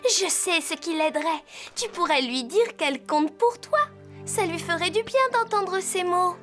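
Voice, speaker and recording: A woman voicing an earnest teenage girl rider in a French cartoon dub, with expressive, emphatic delivery. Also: very high-pitched, unhurried, full character voice. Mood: earnest concern. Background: background music.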